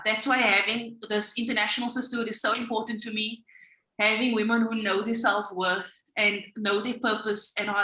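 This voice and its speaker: Bright, lively woman in an echoey room. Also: medium pitch. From Africa. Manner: lively, animated storyteller with bursts of speed. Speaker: co-host of a women's panel. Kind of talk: casual panel chat.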